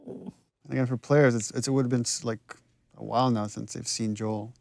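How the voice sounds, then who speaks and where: A man's voice: medium pitch, steady tone, normal speed, vocal fry, darkish tone, no room sound. A man commenting casually on game scenes as their director, reflective and often restarting his sentences.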